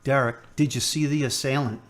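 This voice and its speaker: Gentle hospital chaplain, a guy acting him, slow and measured, audio drama. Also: mid-range voice, fairly even intonation, natural voice. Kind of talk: amateur radio drama acting.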